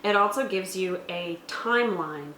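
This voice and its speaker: A woman's voice: lowish voice, unhurried, pushed and bright. A woman in casual conversation, explaining things thoughtfully as a tabletop game reviewer and game master. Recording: roomy echo, faint background noise.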